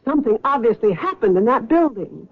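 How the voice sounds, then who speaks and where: An actress playing a worried, fearful wife in a 1970s radio drama, talking anxiously and pleading. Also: female voice, a little high, sing-song, a little fast, husky, heavily acted. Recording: thin phone-like old radio broadcast.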